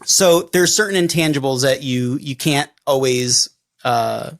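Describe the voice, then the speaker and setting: A middle-aged man with a New York accent, chatting casually as a podcast co-host, pausing and restarting often. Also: medium pitch, creaky. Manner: halting, many restarts and fillers. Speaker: defense attorney co-host.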